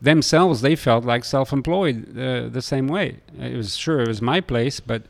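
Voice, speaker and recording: A middle-aged man from France with a French accent, telling stories in an interview in a halting way, often hesitating and repeating words. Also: medium pitch, vocal fry. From Lille, France. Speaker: restaurateur being interviewed. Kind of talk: conversational interview storytelling.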